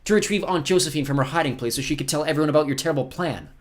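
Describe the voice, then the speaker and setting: A man's voice: on the high side, racing, pitched above the performer's own voice, lightly acted. A man voicing a brave, earnest, indignant teenage orphan girl in a storybook reading. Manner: earnest, firm.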